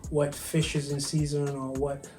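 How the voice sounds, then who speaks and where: Barbadian man, flat-toned guest chef on a video call, roomy room with clear music behind. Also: fairly high pitch, a little slow. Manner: soft-spoken, hesitant, many ums and restarts. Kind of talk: virtual interview, casual storytelling.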